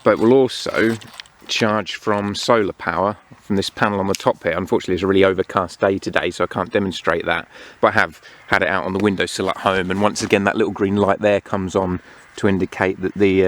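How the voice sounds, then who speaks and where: Low-pitched man reviewing gear. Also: fairly even intonation. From United Kingdom. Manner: unhurried, explanatory. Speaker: product reviewer. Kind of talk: casual hands-on product review. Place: outdoors among greenery. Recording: clear outdoor background noise.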